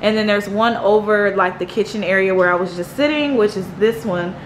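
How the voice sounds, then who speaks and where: A young woman giving a casual house tour vlog, chatting in an animated, slangy, gushing way. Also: medium pitch, fairly quick. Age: young adult. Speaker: vlogger. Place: indoors, rooms of a house. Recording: echoey rooms with clear background noise.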